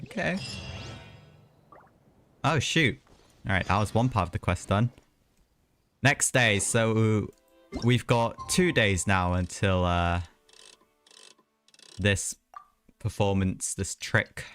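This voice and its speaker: Low-voiced man chatting over a game stream. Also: animated, slow pace, pauses often, fairly bright tone. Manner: chatty, self-mocking, rambling asides. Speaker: livestreamer. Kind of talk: casual gameplay commentary. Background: game music.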